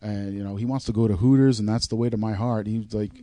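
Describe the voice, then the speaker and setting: A man's voice: fairly low pitch, steady tone, fast talker, dark tone, no room sound. A man co-hosting a podcast, chatting loosely and joking, swearing casually as he talks. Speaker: podcast co-host.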